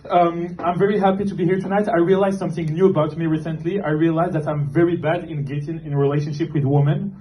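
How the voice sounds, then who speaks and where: Deadpan young man. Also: on the high side. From Morocco. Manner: deadpan, self-deprecating, halting with fillers. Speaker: stand-up comedian. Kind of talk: stand-up set. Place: comedy club with live audience. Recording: noisy club recording. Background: audience laughter.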